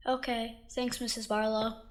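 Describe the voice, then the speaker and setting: Woman acting a timid, high-voiced young schoolboy. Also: little pitch movement, normal speed, background noise, lightly acted. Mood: timid, worried. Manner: timid, childlike. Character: shy, worried young schoolboy, trusting and polite. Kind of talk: audio drama acting.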